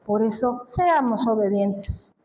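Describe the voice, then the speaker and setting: A woman's voice: on the high side, expressive, takes it slowly, a little mellow, roomy sound, a little hiss or hum, rough recording. A woman from Colombia preaching a sermon, speaking emphatically and asking the congregation questions. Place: church.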